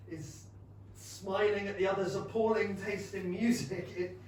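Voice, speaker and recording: Actor as proud wounded gentleman, very echoey theatre. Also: fairly high pitch, close to an everyday voice. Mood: tender, ardent. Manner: formal, measured, eloquent theatrical delivery. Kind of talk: staged reading of a period romantic drama. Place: small London theatre stage, filmed. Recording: echoey theatre, distant mic, noisy room tone.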